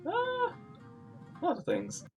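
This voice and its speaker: A woman doing casual live commentary as she plays a video game, joking and excitable. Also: extremely high pitch. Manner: excitable, joking, drawn-out hesitant musing. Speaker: streamer reacting to a video game. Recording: game music faintly behind.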